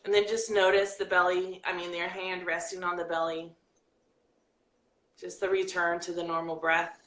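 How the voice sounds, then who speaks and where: Bright-voiced woman coaching in an echoey room. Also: on the low side. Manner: lively, reads viewer comments aloud, turns soft and slow for the breathing exercise. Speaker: life coach hosting a live video. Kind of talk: coaching talk and guided breathing.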